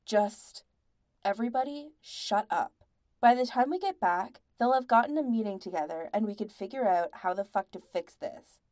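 A woman's voice: mid-range voice, moderate pace, a little mellow, lightly acted. Woman acting a furious, snarling young rock musician guy. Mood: strained, exasperated. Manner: snarling, emphatic, heavy swearing. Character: furious, exasperated young male rock band member, snarling and ranting.

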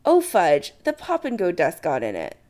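A woman's voice: fairly low pitch, huge pitch swings, moderate pace, a little mellow. A woman voicing a breezy, effusive middle-aged mother who is a sorceress, with a cheerful, gushing manner.

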